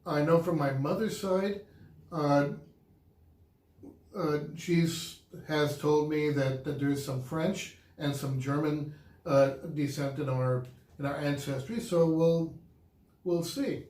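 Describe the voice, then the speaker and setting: A man's voice: on the high side, fairly even intonation, takes it slowly, a little mellow. A man co-hosting a casual couple's vlog, talking in an upbeat, chatty way and laughing easily. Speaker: vlog co-host. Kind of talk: casual chat. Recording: echoey room.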